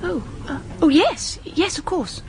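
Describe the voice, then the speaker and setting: A woman's voice: very high voice, animated, a little slow, darkish tone, heavily acted, rough recording. Polite, hesitant young English woman. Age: young adult. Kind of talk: BBC radio drama acting.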